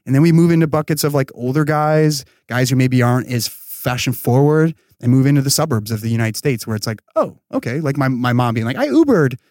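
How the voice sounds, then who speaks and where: A man's voice: medium pitch, lively intonation, brisk, few pauses, a little mellow, no echo, studio quality. A man speaking as a startup founder and podcast guest, in casual, enthusiastic, slangy talk peppered with "like" and "you know.".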